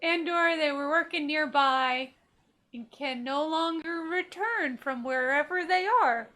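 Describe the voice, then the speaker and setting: Female let's-play host: high voice, slow delivery, wry casual commentary, faint game music behind. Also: bright, forceful tone. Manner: joking, wry. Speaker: gaming streamer.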